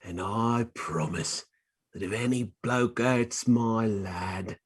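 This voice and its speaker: A man playing a rough, murderous street criminal in a stage play reading, husky, speaking clipped working-class slang. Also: on the low side, takes it slowly. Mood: falsely soothing.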